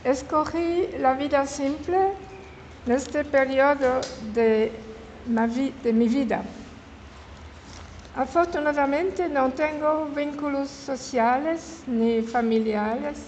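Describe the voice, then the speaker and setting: A woman in her seventies from Switzerland, with a French-speaking Swiss accent and French as her first language, giving an informal public talk. She speaks haltingly, searching for words and correcting herself mid-word. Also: sits high, darkish tone. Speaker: guest speaker. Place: meeting hall in an old house. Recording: reverberant hall with faint room noise.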